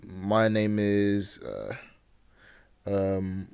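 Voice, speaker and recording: A young man co-hosting a podcast in casual chat, easygoing and hesitant, on a boom-arm mic in a small home room. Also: sing-song, drawn-out words, creaky, darkish tone, clear, resonant tone. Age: young adult. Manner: easygoing, agreeable, hesitant with many ums. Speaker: podcast co-host. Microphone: studio mic on boom arm.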